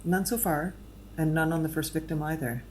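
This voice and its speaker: A clinical, matter-of-fact medical examiner in an audio drama, played by a woman. Her voice is notably deep for a woman, and she delivers findings in a dry, measured way. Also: darkish tone, slight background noise, natural voice.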